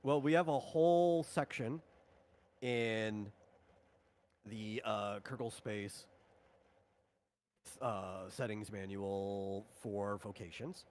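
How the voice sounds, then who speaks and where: A man casually explaining the rules of a tabletop game as its host, in a halting way, rephrasing as he goes. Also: lowish voice, darkish tone, a little hiss or hum.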